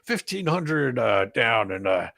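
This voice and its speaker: A man playing an evasive, bumbling lawyer on the witness side of a comic deposition reading, hesitant and stammering, with a gravelly, husky voice. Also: medium pitch, very animated, a little slow, a bit bright, exaggerated voice. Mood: flustered, dithering.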